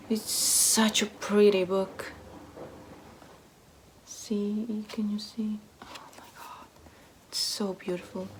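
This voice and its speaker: A woman book vlogger chatting casually to camera in short, halting phrases that trail off softly. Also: mid-range voice, slight background noise, poor audio. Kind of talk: casual chat to camera, answering a book tag.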